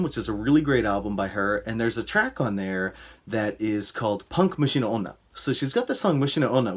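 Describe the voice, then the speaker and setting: A man in his thirties, a music producer, casually recommending albums over a video call from a room. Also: medium pitch. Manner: relaxed, conversational, uses fillers. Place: indoor room. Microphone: video call webcam.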